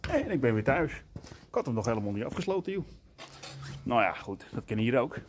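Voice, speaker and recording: A man in his forties talks straight into a handheld camera, thinking out loud with plenty of hesitations. His pitch sits in a middling range. Faint outdoor and traffic noise runs underneath. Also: sing-song, fairly quick. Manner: chatty, musing aloud with many fillers. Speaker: urbex vlogger. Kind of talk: casual vlog commentary. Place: outdoors on a scooter, later indoors in a stairwell. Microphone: camera at arm's length.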